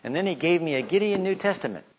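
A man giving informal religious teaching, telling stories and reading aloud in an animated way. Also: fairly high pitch, quick pace, a little hiss or hum. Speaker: Bible teacher giving a talk. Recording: slightly dull, lo-fi recording.

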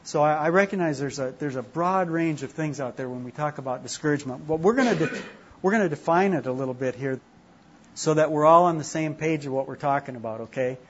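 A man's voice: a little high, fast, dull audio, slight background noise. A man from Minnesota with a Minnesota accent, leading an informal class discussion in a chatty, self-deprecating way. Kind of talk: informal group teaching.

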